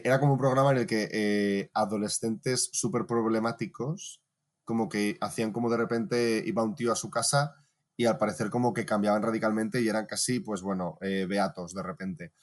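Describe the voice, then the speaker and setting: A man hosting a casual podcast chat and running a quiz, relaxed and laughing often. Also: fairly low pitch, a little fast. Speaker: podcast host.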